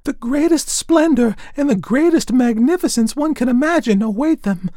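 A flock of gossiping little sparrows chirps over one another in a storybook. The voice jumps way up high and stays bright and bubbling. It comes across dry and close, with a faint gravel underneath. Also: male voice, no room sound. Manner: excited, chirpy, tumbling.

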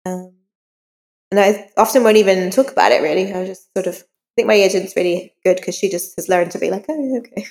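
A woman's voice: medium pitch, brisk, a little mellow. A woman who is an author, speaking candidly and informally as an interview guest, reflecting as she talks. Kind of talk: casual interview conversation. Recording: long reverberant room sound.

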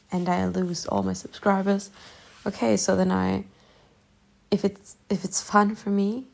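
Soft-spoken German-accented woman, gravelly. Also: medium pitch. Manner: soft, musing, partly whispered. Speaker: YouTuber. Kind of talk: late-night personal vlog. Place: lying in bed at night.

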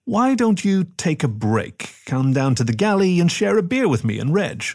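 A man's voice: high voice, very animated, normal speed, darkish tone, no room sound, clean studio sound, voice pushed up. A man voicing a male ferret chief engineer in an audiobook, a blunt but concerned mentor.

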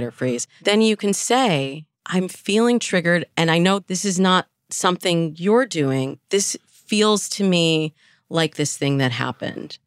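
Low-voiced woman coach, close and dry. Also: smooth, dry recording. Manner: explanatory, speaks in illustrative examples. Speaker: dating coach, interview guest. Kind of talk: conversational relationship advice.

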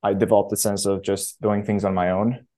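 A man, answering interview questions in a casual, halting way with many restarts. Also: lowish voice, level tone, dark tone. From Romania. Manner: halting, frequent self-restarts and fillers. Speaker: startup founder guest.

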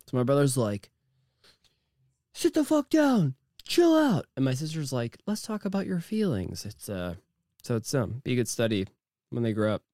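A man with a naturally deep voice, co-hosting a casual podcast chat, jokey and crude with lots of halting fillers. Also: huge pitch swings, dark tone, no room sound, studio quality. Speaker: podcast co-host.

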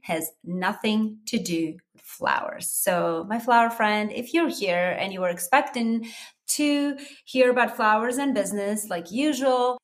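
A middle-aged woman from Armenia, whose first language is Armenian, hosting her own podcast in a chatty, animated solo talk addressed to her listeners. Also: mid-range voice. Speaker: podcast host.

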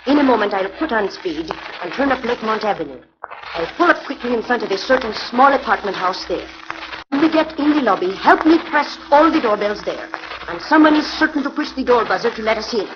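An actress playing a scheming foreign countess who leads a criminal gang, in clipped, commanding 1940s radio acting. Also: female voice, fairly high pitch, lively intonation, brisk, close to an everyday voice. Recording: dull noisy 1940s radio transcription.

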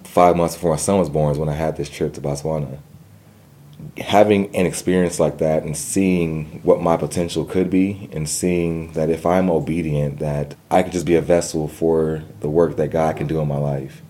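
A man's voice: a bass voice, fairly even intonation, normal speed, dark tone. A young man telling his personal story in an interview, earnest, with a stutter and repeated words. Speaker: guest.

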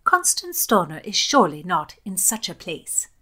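A woman plays a poised English court lady in a historical audiobook. She speaks formally and with curt authority, her pitch lifted a little. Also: sing-song, moderate pace, sharp tone, breathy edge, heavily acted.

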